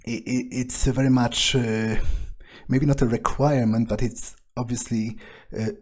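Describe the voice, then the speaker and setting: A man narrating a role-playing game playthrough in a measured, musing way, with hesitant pauses and drawn-out hums. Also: medium pitch, flat delivery, a little mellow. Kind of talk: improvised character backstory narration. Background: background music.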